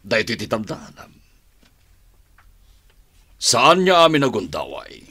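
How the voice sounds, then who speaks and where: A man's voice: mid-range voice, huge pitch swings, a little slow, bright, forceful tone, breathy edge, full character voice. An actor playing a domineering, villainous father and crooked gang boss who taunts and scolds in a radio drama. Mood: taunting, menacing. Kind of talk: Ilocano radio drama acting.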